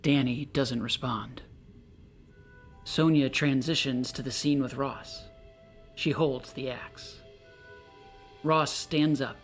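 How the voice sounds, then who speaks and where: A man's voice: medium pitch, steady tone, takes it slowly, long pauses, soft background music. A man giving scripted narration, reading out stage directions.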